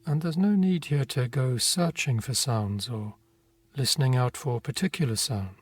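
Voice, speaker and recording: A man narrating a guided meditation in a gentle, unhurried manner, leaving long pauses between phrases. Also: medium pitch, breathy edge. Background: meditation music with singing bowl tones.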